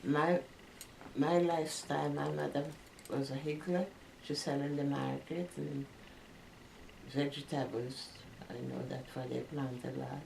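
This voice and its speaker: A Jamaican woman in her seventies slowly recalling her family and village in an oral history interview. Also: deep voice, flat delivery, vocal fry, a little mellow. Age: 70s. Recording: roomy, distant mic.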